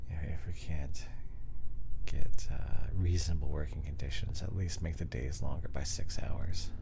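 Sleepy, deep, gravelly man. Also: steady tone, moderate pace, noisy background. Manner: drowsy, soft-spoken, often slipping into whisper. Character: sleepy, lazy, affectionate boyfriend in bed. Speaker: ASMR roleplay performer. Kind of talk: unscripted intimate boyfriend roleplay ASMR. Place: recorded in bed.

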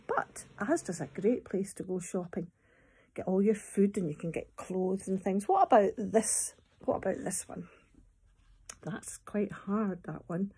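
Scottish woman, husky storytime voice. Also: medium pitch. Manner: expressive, simple phrasing with questions to children. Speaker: nursery owner reading storytime to young children. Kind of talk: storytime talk and poem reading for small children. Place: small room at home, indoors. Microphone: phone or tablet at arm's length.

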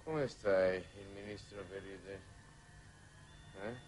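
An actor playing a weak, drowsy, ailing patient who is languidly solicitous, in a 1960s Italian television drama. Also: male voice, low-pitched, takes it slowly, darkish tone. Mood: languid concern. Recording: noisy old 1960s TV soundtrack.

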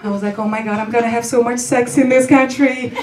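A woman's voice: a little high, fairly even intonation, quick pace. Young female comic with Belgian accent, playful stand-up delivery, echoey and distant club sound. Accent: non-native English, Belgian. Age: young adult. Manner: playful, performative, acts out dialogue. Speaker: stand-up comedian. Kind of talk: stand-up comedy storytelling. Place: small comedy club stage, indoors. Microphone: handheld stage mic, recorded by distant camera. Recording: roomy, distant, noisy club recording.

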